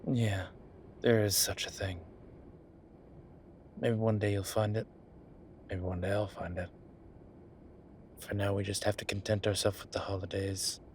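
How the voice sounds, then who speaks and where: A man playing a wry, laid-back incubus demon professor in a roleplay audio drama, talking with breathy pauses and trailing sentences. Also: lowish voice, level tone, a little hiss or hum, natural voice. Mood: amused, teasing. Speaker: voice actor. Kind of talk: roleplay audio drama, one side of a conversation.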